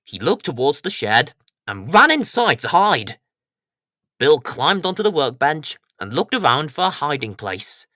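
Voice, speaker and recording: A man's voice: on the high side, bright, forceful tone, no room sound, clean studio sound. A man reading scripted narration for a children's story as the storyteller.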